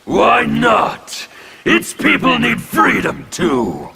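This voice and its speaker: An actor playing a menacing male anarchist warrior in a video game, with scornful, threatening delivery and a gravelly voice. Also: high voice, wildly swooping pitch, drawn-out words, very noisy, full character voice. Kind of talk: video game intro dialogue.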